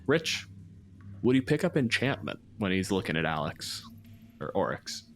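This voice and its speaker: Game master, male, lower register, conversational and improvised delivery for tabletop play. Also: very animated, moderate pace, fairly bright tone, faint music behind.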